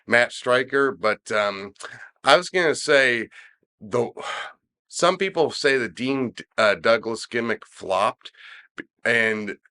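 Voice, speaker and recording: A man's voice: medium pitch, expressive, slow, pushed and bright. Congested man in rambling podcast chat. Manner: rambling, many fillers and restarts. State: congested, a bit nasal. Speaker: podcast co-host, wrestler.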